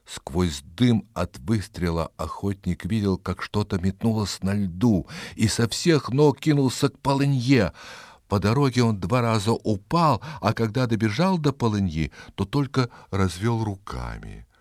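A man narrating a children's animal story in an expressive, scripted storytelling style. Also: lowish voice, unhurried, no room sound, studio quality.

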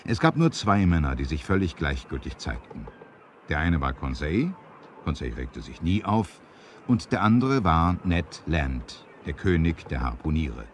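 A man's voice: rumbling low, big pitch swings, a little slow, slight background noise. A man narrating an adventure audio drama in a theatrical, emphatic storytelling manner, as on a 1970s studio record.

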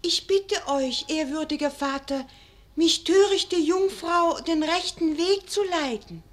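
A woman's voice: high voice, takes it slowly. An actress playing a naive young noblewoman in a 1940s radio play, earnest and pleading in formal, archaic speech. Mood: humble, pleading. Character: naive young noblewoman, earnest maiden wife longing for child.

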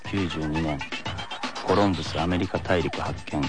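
An actor playing a stolid schoolboy athlete, musing in a deliberate, deadpan way in a comic radio skit. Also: male voice, very deep voice. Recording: loud music over voice.

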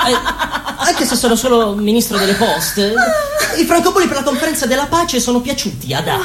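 A woman's voice: a little high, animated, a little fast, close to an everyday voice. A woman playing an uneasy, self-justifying postal minister in a theatrical monologue, with a hesitant manner. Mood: self-assured. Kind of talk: narrated stage monologue for radio. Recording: roomy echo, faint background noise.

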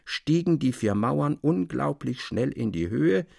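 A man narrating a classic literary tale in a measured, articulate way with expressive emphasis. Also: medium pitch, creaky, no echo, clean studio sound.

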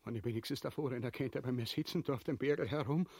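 A man's voice: medium pitch, racing, a little mellow, slightly rough, dry recording. An actor playing a vulgar, blustering, cowardly usurper king in a farcical radio drama, bombastic and grumbling with a Viennese accent. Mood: fearful. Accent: Viennese Austrian. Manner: bombastic, grumbling, stammering in fluster.

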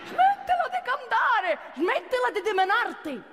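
A woman putting on an exasperated female company boss who scolds in a shrill, drawn-out way. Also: sing-song, full character voice. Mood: exasperated scolding. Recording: reverberant hall, faint background noise.

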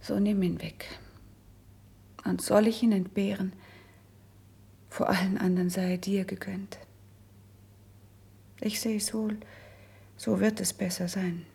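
Breathy, melancholy, slow-speaking princess. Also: female voice, medium pitch, flat delivery, exaggerated voice. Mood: pained resignation. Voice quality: breathy, husky. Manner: slow, measured, hushed verse delivery. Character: melancholy, resigned young princess, sickly since youth. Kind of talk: classical German verse radio drama acting.

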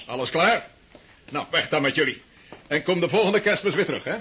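An actor in a Dutch radio play, playing a jovial, bossy older uncle with a hearty, commanding way of talking. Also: male voice, a little high, expressive, fairly quick, pushed and bright, breathy edge, heavily acted. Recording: faintly noisy old radio recording.

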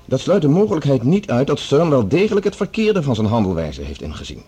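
A man playing a calm, sceptical, even-handed colleague in a radio play, reasoning diplomatically in conversation. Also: medium pitch, a little fast, husky. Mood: calmly persuasive.

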